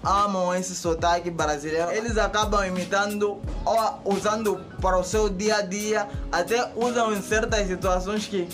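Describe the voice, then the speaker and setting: A young man with a Mozambican Portuguese accent talks casually to the camera for his channel, animated and gesturing with both hands, recorded close up indoors by a bare concrete wall. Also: high-pitched, moderate pace, hardly any pauses, over music. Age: young adult. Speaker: YouTuber.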